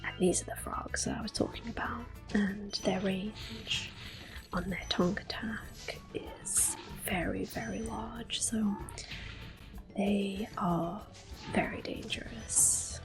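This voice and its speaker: A woman whispers her way through a game playthrough in ASMR style. Her delivery is slow and hushed, unhurried as she narrates each step, with faint game music behind her. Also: medium pitch, fairly even intonation, low-quality recording. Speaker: ASMR gameplay host. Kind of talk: soft-spoken ASMR game commentary with whispering.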